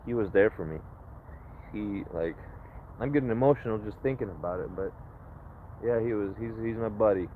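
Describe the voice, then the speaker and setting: A low-voiced man talks in a reflective, rambling way, restarting his sentences often. His voice comes through a tinny, phone-like outdoor recording with a faint hiss behind it. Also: normal speed, pauses often. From Ukraine. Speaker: livestreamer. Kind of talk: casual livestream chat.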